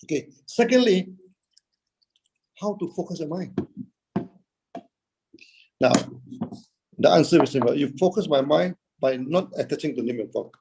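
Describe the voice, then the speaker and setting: Man, Buddhist teacher, somewhat high, gravelly and dark, halting and full of fillers. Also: lively intonation, slight background noise, rough recording. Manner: halting, frequent "okay" and "uh", rhetorical questions answered himself. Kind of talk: dharma talk. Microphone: video call.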